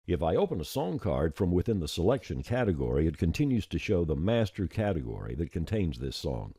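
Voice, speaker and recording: A man presenting a software tutorial as a step-by-step screencast voice-over, plain-spoken and informal, on a close mic. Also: sits low, sing-song, fairly quick, slightly rough, dry recording.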